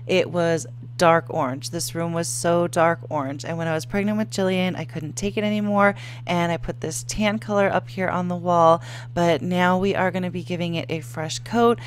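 Woman, 30s, lowish voice, easygoing chatty vlog narration, faint hum behind. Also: moderate pace. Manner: relaxed, chatty, matter-of-fact. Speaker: home cleaning vlogger. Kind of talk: casual voice-over narration.

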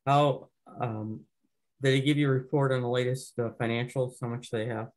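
A man chairing a town board meeting on a video call, relaxed and asking follow-up questions. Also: medium pitch, normal speed, rough recording. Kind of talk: casual meeting discussion.

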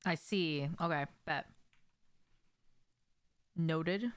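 Young woman, lowish pitch, game streamer, casual chat, slangy short phrases, indoor home setup. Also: extremely slow, dry recording. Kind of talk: casual livestream chat while gaming. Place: small indoor room at home.

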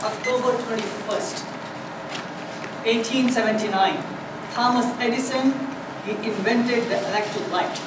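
A woman contestant opening a prepared speech with a measured, deliberate delivery. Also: fairly high pitch, fairly even intonation. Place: meeting hall with an audience. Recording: echoey hall, far from mic, noisy.

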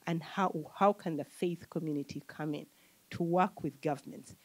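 Low-voiced Ugandan woman panelist. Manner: measured, explanatory, lists points. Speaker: panelist, economist. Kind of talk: panel discussion answer. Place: conference room with audience. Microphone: handheld mic.

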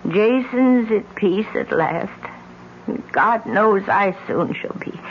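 An actress playing a weary, bitter older widow and mother in a 1970s radio drama, speaking haltingly. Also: mid-range voice, lightly acted. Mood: weary, uneasy. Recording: muffled, noisy old radio recording.

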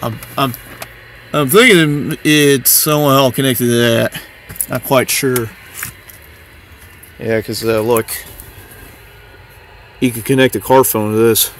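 A man's voice: mid-range voice, steady tone, slow, pauses often, a little hiss or hum. A man giving a casual, off-the-cuff show-and-tell, hesitant and thinking aloud, recorded on a handheld camera in and around a parked car. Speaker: vlogger. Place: in a parked car and just outside it.

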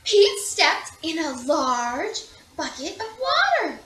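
A young woman reads a children's storybook aloud in an expressive, exaggerated, singsong way, recorded in a room at home. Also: very high voice, slow, far from the mic. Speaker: storybook reader. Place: small living room at home. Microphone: camera a few feet away. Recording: echoey room.

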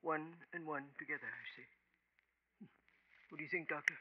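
An actor playing a folksy, nosy janitor who rambles suspiciously, in a 1950s radio drama. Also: male voice, a little high, moderate pace, breathy edge, lo-fi sound. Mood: suspicious, hesitant.

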